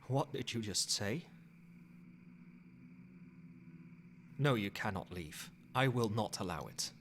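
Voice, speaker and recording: A man playing a possessive, controlling old vampire in a soft-spoken, measured ASMR roleplay. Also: low voice, expressive, a little hiss or hum. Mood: stern, insistent. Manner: measured, formal, commanding.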